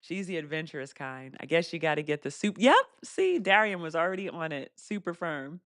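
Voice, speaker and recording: A woman with a fairly deep voice hosting a casual live stream Q&A. She laughs often and reads viewer comments aloud. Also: very animated, a little fast, dry recording, studio quality. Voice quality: deeper voice for a woman.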